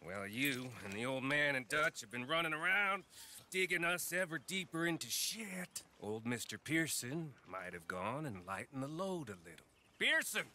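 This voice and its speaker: A sly, goading outlaw from a game cutscene. His voice is fairly high and piercingly sharp, drawling with insolence over faint background noise. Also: sing-song, normal speed, heavily acted. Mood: mocking. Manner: sardonic, needling, drawling. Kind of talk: dramatic video game acting.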